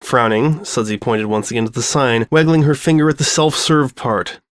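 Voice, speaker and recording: A man's voice: medium pitch, normal speed, no room sound. Man narrating a story, close dry sound. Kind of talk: expressive scripted story narration.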